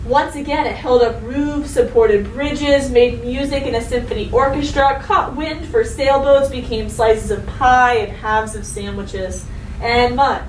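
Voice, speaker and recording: A woman teacher reading a story and giving a lesson to children, expressive and instructive, speaking to them directly. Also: fairly high pitch, unhurried. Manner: expressive, instructive, addresses young listeners directly. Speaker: elementary school teacher. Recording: roomy echo, distant mic, faint noise.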